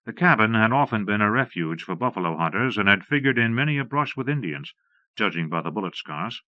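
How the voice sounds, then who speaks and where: A man's voice: fairly low pitch, no room sound. A man reading scripted western narration for an audiobook, recorded close and dry on a slightly dull cassette.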